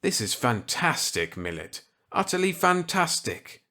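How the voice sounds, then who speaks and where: A man's voice: fairly high pitch, sing-song, unhurried, bright, forceful tone, pitched above the performer's own voice, heavily acted. A man voicing an earnest, resentful government scientist in a storybook reading, stiff and incredulous in delivery.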